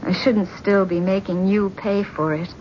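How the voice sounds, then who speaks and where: A woman's voice: medium pitch, fairly even intonation, slight background noise, lightly acted. A woman playing an anxious, regretful wife. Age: middle-aged. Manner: pleading, halting, regretful. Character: anxious, loyal middle-aged wife. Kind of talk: 1970s radio drama acting. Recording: dull vintage radio broadcast.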